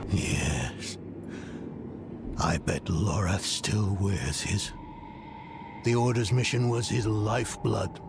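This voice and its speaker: An actor playing a weary, self-doubting older scholar-mage in a fantasy game, gravelly and halting, with a brooding manner. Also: male voice, low voice, full character voice. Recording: loud music under the voice.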